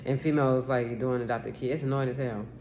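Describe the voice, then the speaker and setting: A woman's voice: rumbling low, fairly even intonation, fairly quick, darkish tone, a little hiss or hum. Woman, 40s, solo vlogger. Rambling, insistent monologue with lots of fillers and casual profanity. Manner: rambling and aggrieved, with many restarts and fillers; swears casually and repeats herself insistently.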